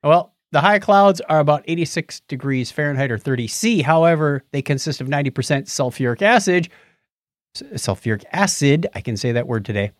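A man with a slightly high, very lively voice hosts a casual podcast. His delivery is informal and playful, swinging up and down as he reads items aloud and chats. The sound is dry and close. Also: brisk, no room sound. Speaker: podcast co-host. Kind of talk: casual podcast chat with read-out news.

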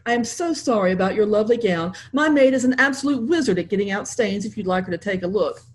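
A woman's voice: mid-range voice, animated, fast talker, natural voice. A woman voicing a prim young fiancée who speaks fussily and with false politeness. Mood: fussy.

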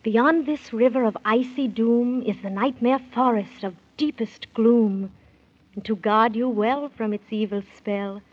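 A woman's voice: a little high, breathy edge. A woman playing a regal, benevolent fairy queen who speaks in stately rhyme in a 1930s children's radio drama. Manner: stately, incantatory rhymed delivery. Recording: dull 1930s radio recording.

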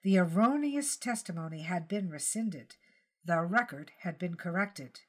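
A woman's voice: lowish voice, lively intonation, a little slow. A woman reading fiction aloud as a scripted short story narrator.

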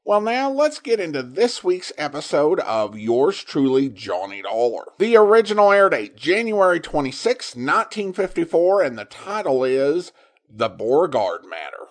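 An American man introducing his podcast from a script. His voice sits a little high and swings widely in pitch as he announces. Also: big pitch swings, normal speed. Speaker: podcast host. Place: recorded in Boise, Idaho.

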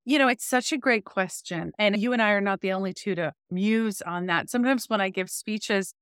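A woman author being interviewed, explaining in a conversational, expressive, emphatic storytelling way. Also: mid-range voice, normal speed, smooth, dry recording, studio quality.

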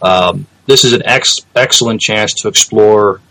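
Low-voiced man, halting podcast chat. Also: bright, forceful tone, low-quality recording. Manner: hesitant, halting phrasing. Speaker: podcast co-host. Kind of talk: casual movie news chat.

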